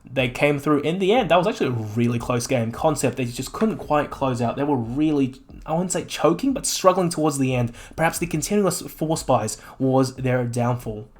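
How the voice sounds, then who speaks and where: A man working as an esports caster and interviewer, with a slightly croaky voice and an excitable way of talking. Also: medium pitch, normal speed, hardly any pauses. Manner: excitable, exclaiming at big plays. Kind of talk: live game commentary and post-match interview.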